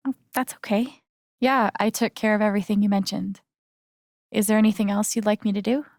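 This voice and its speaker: Actress as guarded young woman, creaky casual voice. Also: mid-range voice, steady tone, a little fast, a little mellow, no echo, studio quality, natural voice. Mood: friendly, curious. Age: young adult. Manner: casual, hesitant, understated. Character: guarded, wry young woman, self-doubting and sincere. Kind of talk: naturalistic video game voice acting.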